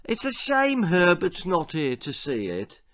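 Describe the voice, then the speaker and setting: A man's voice: sits high, wildly swooping pitch, a little slow, fairly bright tone, full character voice. Man voicing a mild, oblivious English dad. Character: mild, easily amused, oblivious father.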